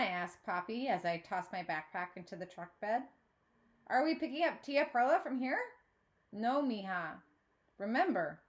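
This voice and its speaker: A woman reading a children's novel aloud as a teacher would, narrating in the first person and acting out the dialogue. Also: fairly high pitch. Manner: expressive, acts out dialogue.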